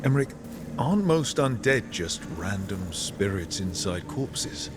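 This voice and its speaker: An actor playing a wry, easygoing fantasy adventurer in a video game, talking in a casual, plainspoken, questioning way. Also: male voice, on the low side, very animated, a little slow, noisy background. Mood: curious. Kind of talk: video game dialogue acting.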